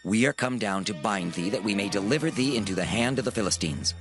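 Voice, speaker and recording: A man's voice: lowish voice, fast, music in the background, close to an everyday voice. A man playing a worried, reproachful tribesman who remonstrates earnestly in a scripture drama. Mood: firm.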